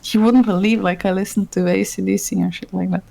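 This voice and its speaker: A woman's voice: medium pitch, steady tone, fairly quick, creaky, warm tone. A woman co-hosting a casual podcast, chatty and blunt, swearing freely and saying "like" a lot. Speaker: podcast co-host. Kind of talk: casual chat.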